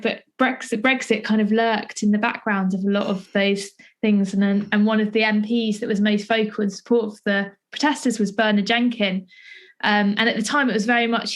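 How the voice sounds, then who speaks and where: Young British woman interviewing, thinking aloud. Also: medium pitch. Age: young adult. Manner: tentative, discursive, thinking aloud. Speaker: podcast interviewer and playwright. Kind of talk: conversational interview.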